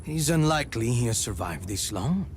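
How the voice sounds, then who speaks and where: An actor playing a coldly polite, menacing villain boss in a game, suave and condescending. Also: male voice, mid-range voice, animated, normal speed, background noise, close to an everyday voice. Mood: calmly menacing. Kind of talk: video game cutscene acting.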